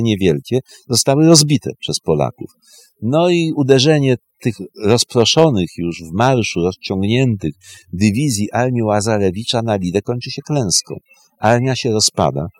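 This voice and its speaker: A man's voice: mid-range voice, no room sound, studio quality. A man speaking as a historian guest, telling history in an emphatic way with short, repeated phrases. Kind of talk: animated historical storytelling.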